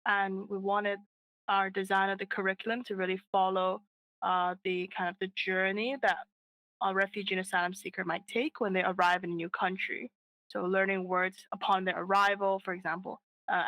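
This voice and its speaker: A woman's voice: medium pitch, fairly bright tone, low-quality recording. A woman co-founder answering interview questions in an earnest way, with frequent fillers and restarts. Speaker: app co-founder, guest.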